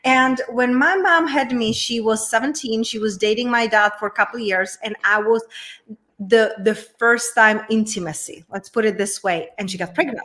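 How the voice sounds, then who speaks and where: Woman from the Czech Republic with a Czech accent, guest coach, earnest, reflective delivery, a bit higher-pitched. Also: normal speed, fairly bright tone. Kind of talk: personal story on a talk show.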